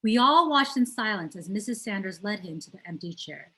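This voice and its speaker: A woman's voice: mid-range voice, expressive, fairly quick, sharp tone, poor audio. A woman reads a children's novel aloud as a storyteller, putting emphasis on key words. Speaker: teacher reading aloud.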